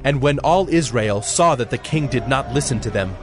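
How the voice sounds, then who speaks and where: A man narrating a dramatized Bible in a measured, solemn storytelling style. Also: medium pitch, animated, over music.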